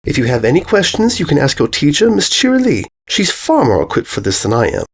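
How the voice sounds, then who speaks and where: Man voicing a proper, raised-pitch motherly big-sister mare. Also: huge pitch swings, a little fast, breathy edge, exaggerated voice. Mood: soothing, businesslike. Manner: proper, soothing, cooing. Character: refined, motherly older-sister mare, gently reassuring.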